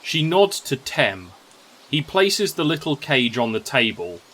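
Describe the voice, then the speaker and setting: A man's voice: mid-range voice, big pitch swings, measured pace, a bit bright, low-quality recording. A man narrating a scripted fantasy story in a dramatic storytelling manner.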